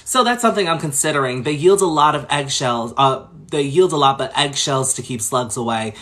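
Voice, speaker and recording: Bright, expressive man chatting on a livestream. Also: medium pitch, slight background noise. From Long Island, New York. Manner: fast talker. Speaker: livestream host. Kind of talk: casual livestream chat, reading and answering viewer comments.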